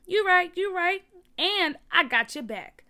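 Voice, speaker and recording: A woman's voice: high-pitched, animated, normal speed, bright, forceful tone. A woman voicing a sassy, supportive young schoolgirl in a storybook, chatty, upbeat and slangy.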